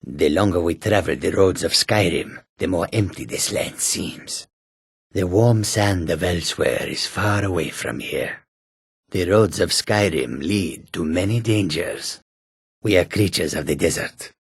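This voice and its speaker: Fantasy game cat-man trader: very rough, breathy rasp, slow delivery, wary but courteous. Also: low voice, no echo, studio quality, exaggerated voice. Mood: weary, measured. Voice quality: raspy, gravelly. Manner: deliberate, formal, speaks of self in third person. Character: wary, courteous male cat-folk desert trader and guard. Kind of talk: video game character voice lines.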